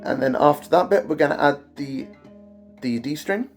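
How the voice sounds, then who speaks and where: A man teaching a guitar arrangement in a casual, wry, self-deprecating way, his voice croaky from an oncoming cold. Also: on the high side, moderate pace. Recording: guitar playing behind the voice.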